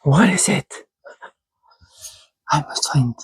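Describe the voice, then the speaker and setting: A woman's voice: deep voice, expressive, breathy edge, no echo. A woman with an Icelandic accent, casually showing her pottery in a vlog, searching for words as she goes. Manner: slow, searching for words, with fillers. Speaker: potter showing kiln results. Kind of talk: casual show-and-tell vlog.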